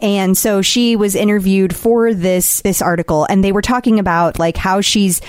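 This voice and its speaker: A woman's voice: medium pitch, steady tone, normal speed, a little mellow, dry recording, studio quality. A woman co-hosting a podcast in casual, giggly chat, with a sinus infection. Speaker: podcast co-host.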